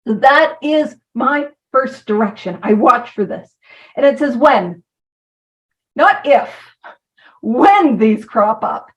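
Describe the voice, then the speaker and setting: A woman's voice: high voice, animated, slow, far from the mic, low-quality recording. A woman giving an informal talk about recovery, chatty and self-deprecating, who drifts from point to point. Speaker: recovery program speaker.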